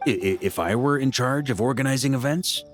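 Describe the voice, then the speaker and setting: A man's voice: mid-range voice, fairly quick, natural voice. A man voicing a jovial, hard-drinking veteran knight commander in a video game, easygoing and boastful in conversation. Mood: playful, musing. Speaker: video game character voice actor. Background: game music.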